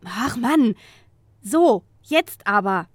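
Woman acting a high-pitched, sulky young witch. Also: animated, drawn-out words, no room sound, clean studio sound, exaggerated voice. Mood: reluctant, sheepish. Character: grumpy, lovesick young modern witch, exasperated and reluctant. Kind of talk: scripted comic audio drama.

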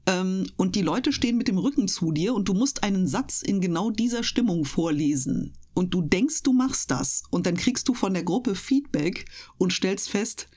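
A woman's voice: medium pitch, animated, moderate pace, hardly any pauses, a little mellow. A woman chatting casually as an interview guest, explaining things thoughtfully in colloquial, youthful slang. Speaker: interview guest, voice actress and streamer. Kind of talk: casual radio interview chat.

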